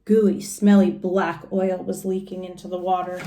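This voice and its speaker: A woman's voice: fairly low pitch, flat delivery, moderate pace, warm tone, echoey room, slight background noise. A woman reading a children's storybook aloud like a teacher, adding simple explanations and questions for young listeners.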